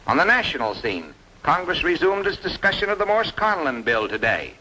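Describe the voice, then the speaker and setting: An actor playing a brisk male radio newscaster, reading formally in a declamatory vintage style. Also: fairly high pitch, big pitch swings, pushed and bright, a little hiss or hum, heavily acted, low-quality recording. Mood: urgent, official.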